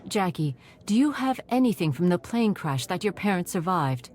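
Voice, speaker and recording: A woman playing a determined, earnest investigator in a video game, thinking aloud in a measured way. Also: on the low side, big pitch swings, darkish tone. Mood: puzzled, resolute. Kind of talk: dramatic video game narration.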